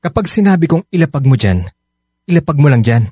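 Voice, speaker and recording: Pabago-bagong tono ng depensibong mister. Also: male voice, on the high side, big pitch swings, fairly quick, dark tone, slightly rough, dry recording, clean studio sound. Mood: defensive. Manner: defensive, whining. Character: evasive, complaining husband hiding an affair. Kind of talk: Filipino radio drama acting. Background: background music.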